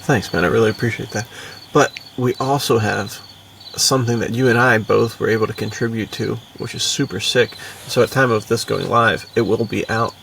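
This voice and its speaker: Casual American man with a gravelly voice. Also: on the low side, steady tone, a little fast, a bit bright, far from the mic, a little hiss or hum. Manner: informal, bro-ish, frequent "dude" and "like". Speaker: podcast co-host and adventure fiction author. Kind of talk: casual podcast chat.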